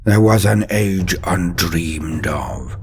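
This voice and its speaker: A man's voice: sits low, expressive, slow pace, husky, no echo, studio quality. A man narrating a comic fantasy saga as a storyteller, in a grandiose, wry, theatrical manner with flowery archaic wording. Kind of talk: mock-epic scripted narration of a comic fantasy tale.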